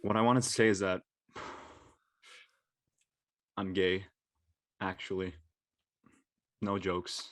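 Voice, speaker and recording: A man playing an earnest, nervous high school senior with a casual, slangy, hesitant delivery in an audio play. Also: steady tone, a little slow, dry recording. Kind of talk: naturalistic dialogue in a live-streamed audio play.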